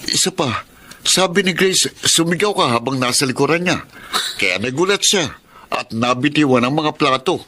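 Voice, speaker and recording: A man's voice: fairly high pitch, animated, normal speed, bright, forceful tone. A Filipino man playing a firm, principled boyfriend and employer in a radio drama, speaking earnestly. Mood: stern. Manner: earnest, reasoning.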